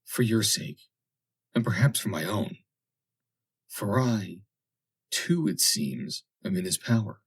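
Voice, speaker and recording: A man reading an audiobook, voicing an injured young woman who is gentle, earnest and calm. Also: lowish voice, slow, pauses often, slightly rough, heavily acted. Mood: relieved. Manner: soft, earnest. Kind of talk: dramatic audiobook character voice.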